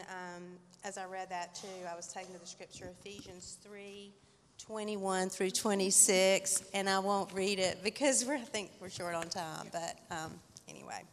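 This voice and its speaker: A woman giving a humble church testimony and reading scripture aloud, quiet with fillers. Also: mid-range voice. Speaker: church member sharing a reflection. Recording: echoey hall.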